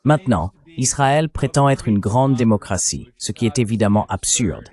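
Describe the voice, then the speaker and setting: A man's voice: medium pitch, animated, normal speed, darkish tone. A man delivering political commentary as an analyst, in an emphatic, argumentative way.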